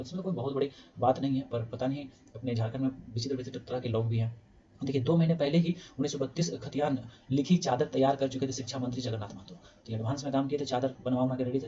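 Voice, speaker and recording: A man rattles through the news quickly in a mellow, darker voice. He reads from clippings, then adds easygoing spoken asides. The sound is dull and lo-fi. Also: mid-range voice. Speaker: news explainer host. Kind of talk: casual explainer reading news aloud.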